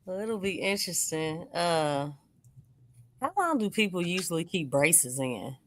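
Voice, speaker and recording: A woman's voice: on the low side, lively intonation, unhurried. An American woman co-hosting a live sports show in an informal, playful, conversational way. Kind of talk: casual live sports chat.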